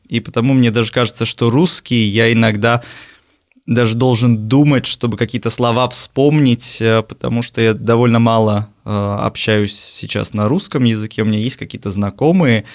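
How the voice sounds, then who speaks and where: A young man in his twenties from Riga who is a native Latvian speaker. He answers interview questions in a thoughtful, hesitant way with many filler pauses. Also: mid-range voice, fairly even intonation. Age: 20s. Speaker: guest, university lecturer.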